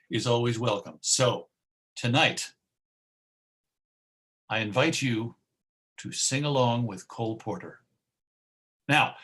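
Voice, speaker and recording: A man's voice: medium pitch, a bit bright. A man presenting an informal online lecture in a chatty, encouraging way. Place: live online video presentation.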